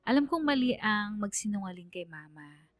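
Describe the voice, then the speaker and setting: A woman's voice: medium pitch, very animated, moderate pace, no echo, natural voice. A woman voicing an anxious young Filipino student narrating his story in a radio drama. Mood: anxious, reflective.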